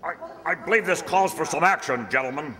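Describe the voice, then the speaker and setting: An actor playing a stuffy, suspicious army general with a clipped, pompous manner in a radio play. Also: male voice, fairly high pitch, brisk, crowd noise, close to an everyday voice. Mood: suspicious, stern. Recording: echoey hall with long reverb.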